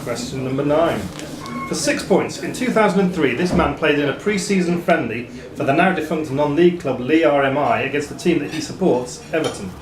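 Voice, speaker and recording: A man reading quiz questions to players as quizmaster, in a measured, formal way with dry asides. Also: fairly high pitch, expressive. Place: pub room during a live quiz. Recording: echoey pub room, chatter behind.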